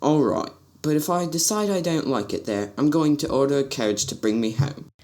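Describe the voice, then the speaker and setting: A man's voice: on the high side, expressive, fairly quick, darkish tone. A man acting a spoiled, haughty boy prince of about fourteen in a radio drama, whiny and defiant. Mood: sulky.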